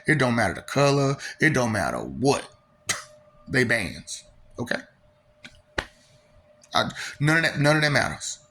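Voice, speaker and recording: A man's voice: medium pitch, creaky, sharp tone, slight background noise. A man giving a casual, preachy monologue full of rhetorical questions and filler.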